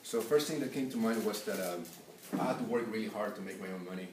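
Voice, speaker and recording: A young man from Peru telling an unscripted personal story as a class speech, halting and full of filler sounds. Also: lowish voice, background noise. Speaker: student giving a class speech. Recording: echoey room, voice from a distance.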